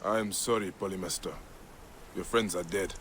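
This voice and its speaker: An actor playing a grave, determined Egyptian warrior in a video game, measured and stern in manner. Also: male voice, low voice, steady tone, fairly bright tone, slight background noise. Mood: solemn.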